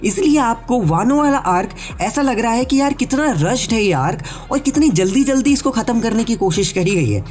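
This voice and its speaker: A man's voice: very high voice, big pitch swings, moderate pace, hardly any pauses, a little mellow, faint music behind. A man with a sore throat and a blocked nose, giving a casual explainer voice-over as an anime theory YouTuber and speaking straight to his viewers. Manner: chatty, addresses viewers directly, mixes in English words. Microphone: voice-over recorded separately.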